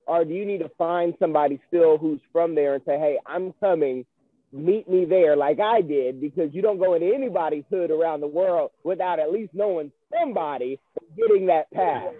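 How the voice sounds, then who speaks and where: A man hosts a podcast in a casual, rambling way. His voice is high for a man and is heard dry through a narrow, phone-like band. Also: no room sound. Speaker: podcast host. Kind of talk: casual conversational interviewing. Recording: thin phone-like audio, dry.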